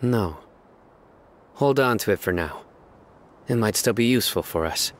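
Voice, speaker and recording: A man playing a stern, calm, analytical desert enforcer in a video game, speaking in a measured, serious, deliberate way. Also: on the low side, slight background noise. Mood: calm, reasoning. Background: music.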